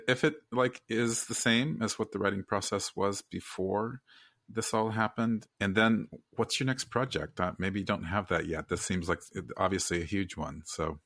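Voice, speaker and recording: A man co-hosting a podcast, chatting in an animated, emphatic, conversational way. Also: deep voice, dry recording, studio quality. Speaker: podcast co-host. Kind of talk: casual podcast chat.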